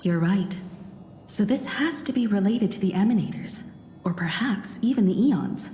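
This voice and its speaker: An actress playing a thoughtful, polite young explorer who asks inquisitive questions. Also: female voice, mid-range voice, lively intonation, moderate pace, warm tone, lightly acted. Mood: curious. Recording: roomy reverb with game music.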